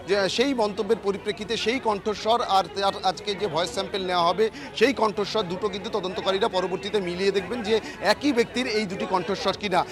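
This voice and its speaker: A male field reporter with a West Bengal Bengali accent reports live from outdoors. His pitch is high for a man and his delivery is fast. He stresses his points in long, running sentences.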